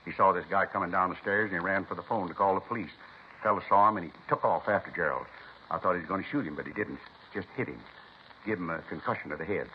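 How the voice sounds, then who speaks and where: An actor playing an earnest, simple, good-natured butcher in a 1950s radio police drama, plain-spoken with folksy, ungrammatical speech. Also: male voice, sits low, quick pace, close to an everyday voice. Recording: muffled, hissy 1950s radio recording.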